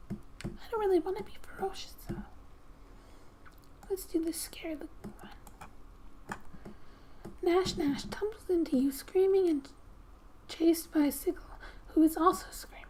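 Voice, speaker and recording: A woman speaking softly and breathily in gentle ASMR style, often slipping into a whisper as she reads a game story aloud with casual asides. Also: very high-pitched. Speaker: gaming ASMR creator. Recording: quiet background music. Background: soft music.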